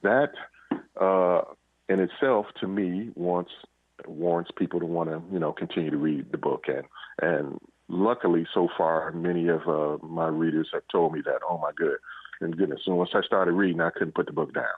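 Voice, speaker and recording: A low-pitched man speaks as an interview guest over a narrow, phone-like line. He answers casually and haltingly, in short phrases with pauses between them. The sound is dry, with no room echo. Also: fairly even intonation, husky. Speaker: interview guest, crime fiction author and police officer.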